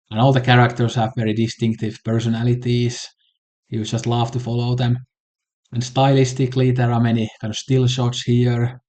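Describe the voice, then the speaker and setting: A man from Finland with a Finnish accent, casually reviewing films in a conversational way. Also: medium pitch. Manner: relaxed, conversational, with fillers like "kinda" and "like". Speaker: film reviewer.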